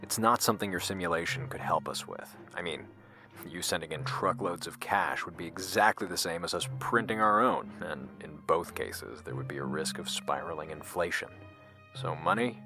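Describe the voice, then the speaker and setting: A man playing a grave scientist in scripted audio drama dialogue, speaking deliberately with hesitant pauses. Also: low voice. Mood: explaining. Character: grave, secretive male scientist and his questioning double. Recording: music under the voice. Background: music.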